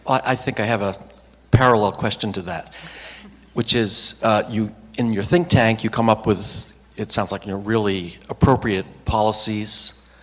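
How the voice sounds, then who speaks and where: Low-voiced man asking a pointed question. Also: fairly even intonation, normal speed, fairly bright tone. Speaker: audience member asking a question. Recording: reverberant hall.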